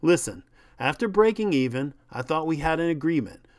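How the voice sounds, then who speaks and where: A man's voice: fairly high pitch, animated, moderate pace, pitched above the performer's own voice, natural voice. A man voicing an exasperated film agent who pleads and coaxes in a persuasive manner. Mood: exasperated, pleading. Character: coaxing, exasperated male film agent smoothing things over.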